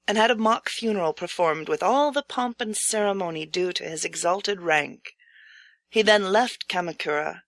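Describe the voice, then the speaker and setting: A woman's voice: mid-range voice, dry recording. Woman narrating a storybook, close dry sound. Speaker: audiobook narrator.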